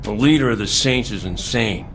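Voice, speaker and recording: Low-voiced military commander. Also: male voice, normal speed, a bit bright, faint music behind. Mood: grim, matter-of-fact. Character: jaded male military commander. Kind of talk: scripted game audio log monologue.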